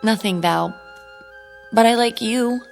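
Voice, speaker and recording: A woman's voice: on the high side, slow pace, creaky, a little mellow, soft background music. An actress playing an anxious, stubborn young woman in a radio drama, hesitant and earnest in her pleading. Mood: uneasy, doubtful. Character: anxious, stubborn eighteen-year-old woman resisting a beautifying transformation.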